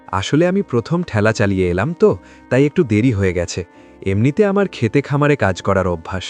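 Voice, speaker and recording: A man's voice: lowish voice, big pitch swings, normal speed, darkish tone, faint music behind. A man playing a poor, hardworking village father, earnest, meek and polite, in a moral-story cartoon. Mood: humble, tired.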